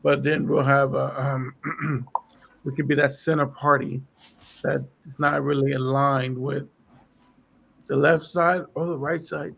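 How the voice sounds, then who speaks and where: Guy with a gravelly worn-out voice, keeps clearing his throat, rambly podcast chat. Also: medium pitch, little pitch movement, measured pace, a bit bright. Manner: rambling, lots of fillers. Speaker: podcast co-host. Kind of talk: casual political chat.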